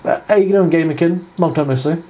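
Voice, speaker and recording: A man's voice: on the high side, quick pace, background noise. A man giving casual live reaction commentary, stammering and repeating his phrases. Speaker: reaction video host. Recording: music playing behind the voice.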